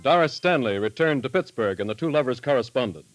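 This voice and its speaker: A man's voice: mid-range voice, lively intonation, no room sound, faint music behind, rough recording. Formal 1930s radio announcer, male, dry sound. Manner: formal, measured, dramatic. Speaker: announcer and narrator. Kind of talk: 1930s radio scripted narration. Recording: vintage 1938 radio broadcast recording.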